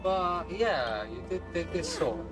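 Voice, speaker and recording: A woman's voice: fairly low pitch, lively intonation, slow pace. A woman streamer giving casual game reaction commentary, with slangy, exclamatory reactions. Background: game music.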